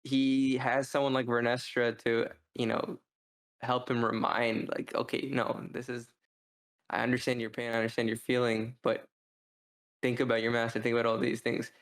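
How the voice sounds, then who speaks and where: A man co-hosting a podcast, talking through a book review in a casual, rambling, halting way as he thinks out loud. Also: medium pitch, completely flat delivery. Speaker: podcast co-host.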